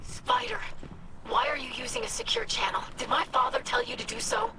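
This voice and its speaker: An earnest, formal alien scribe. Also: female voice, very high-pitched, big pitch swings, fairly quick, gravelly, heavy background noise, exaggerated voice. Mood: questioning. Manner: formal, precise, resolute. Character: earnest young female alien scribe, principled and inquisitive. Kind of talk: video game character acting. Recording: loud music behind the voice.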